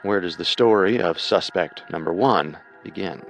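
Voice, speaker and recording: A man's voice: low-pitched, moderate pace, fairly bright tone, soft background music, rough recording. A man co-hosting a true crime show, reading scripted narration in a dramatic storytelling manner.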